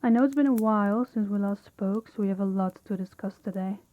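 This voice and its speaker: A woman's voice: mid-range voice, brisk, no room sound, phone-quality sound, natural voice. A woman playing a dominant mafia boss in an audio roleplay, stern and condescending, giving orders and patronizing praise. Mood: courteous, formal. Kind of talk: audio roleplay addressed to the listener.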